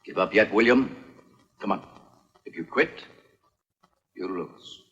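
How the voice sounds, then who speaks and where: A man's voice: lowish voice, slow, a bit bright, lo-fi sound. A man playing a boastful, flirtatious nobleman and swordsman in a dubbed period adventure film, with a cocky, courtly, theatrical delivery. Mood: cocky, playful.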